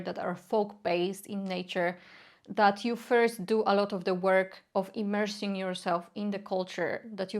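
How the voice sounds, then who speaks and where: A young woman from Poland with a Polish accent, Polish her native tongue, earnestly explaining folk practice in a casual talk with many filler sounds. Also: medium pitch. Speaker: folk magic educator.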